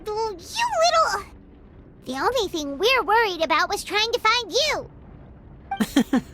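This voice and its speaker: A woman voicing a tiny, cheeky, excitable fairy companion with a squeaky childlike voice in an anime game. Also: slow pace, slight background noise, heavily acted. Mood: exasperated. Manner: exclamatory, refers to self in third person.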